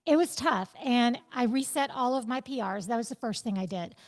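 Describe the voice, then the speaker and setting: Woman in her fifties, fairly high voice, interview guest, reflective, animated. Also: normal speed. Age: 50s. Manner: reflective, animated, stresses quoted thoughts. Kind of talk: conversational podcast interview.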